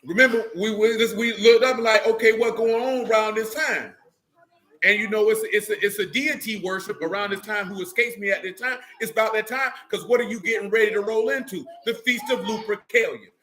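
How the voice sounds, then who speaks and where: A man's voice: high-pitched, fairly even intonation, a little fast, a bit bright. A man with speech teaching a religious group informally, emphatic and streetwise, often checking in with his listeners.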